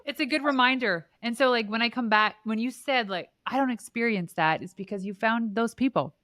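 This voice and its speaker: A woman's voice: on the high side, brisk, a bit bright, no room sound, clean studio sound. A woman hosting a podcast, affirming her guest and summing up her points in casual chat. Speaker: podcast host.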